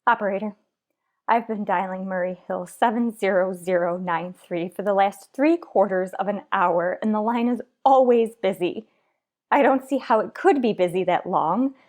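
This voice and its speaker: A woman's voice: mid-range voice, normal speed, a little mellow, close to an everyday voice. An actress playing a nervous, demanding invalid wife in a suspense radio play, flustered and insistent as she talks. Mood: fretful, anxious. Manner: fretful, insistent, flustered, stammering. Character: frantic, imperious, nervous invalid wife home alone. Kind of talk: old-time suspense radio play acting.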